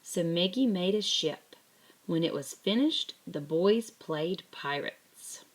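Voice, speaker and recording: A woman narrating a children's picture book aloud in a deliberate, expressive way. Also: lowish voice, low-quality recording. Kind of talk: scripted children's story narration.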